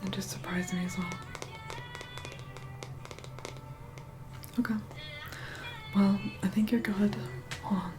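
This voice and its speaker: A woman playing an aloof, reluctantly caring vampire woman in an ASMR roleplay, soft-spoken and breathy, often whispering, slow and condescending. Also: medium pitch, steady tone, natural voice, low-quality recording. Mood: grudgingly fond. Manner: slow, condescending, grudgingly kind. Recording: loud music behind the voice. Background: music.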